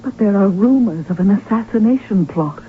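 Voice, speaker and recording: Actress as an urgent, pleading royal wife. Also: female voice, mid-range voice, animated, normal speed, close to an everyday voice. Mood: anxious, pleading. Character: anxious, insistent wife of an archduke. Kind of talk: 1970s radio drama acting. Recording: muffled old radio recording, faint hum.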